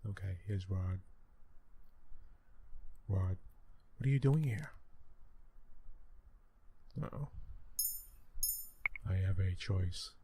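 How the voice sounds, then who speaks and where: A man softly narrating a visual novel in an ASMR style, with hushed, unhurried, halting delivery and asides to listeners. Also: low-pitched. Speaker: ASMR let's play host and reader. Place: room with windows open near a highway. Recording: clear background noise, open window.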